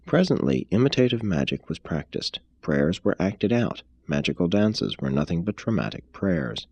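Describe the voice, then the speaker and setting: A man narrates a scripted text in a very low, husky voice. His delivery is measured and formal, yet the pitch moves in lively swings. The sound is dry and close. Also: no room sound, lo-fi sound. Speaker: reader, narrator. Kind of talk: scripted audiobook-style reading of an expository text.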